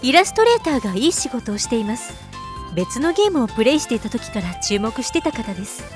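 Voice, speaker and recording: A high, melodic schoolgirl voice acted by a woman for an anime drama. She is a proud, serious gamer who speaks formally with a haughty edge. Music sits behind her. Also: quick pace. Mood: smug. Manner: polite formal speech, deadpan, slightly haughty. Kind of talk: Japanese anime-style voice drama acting.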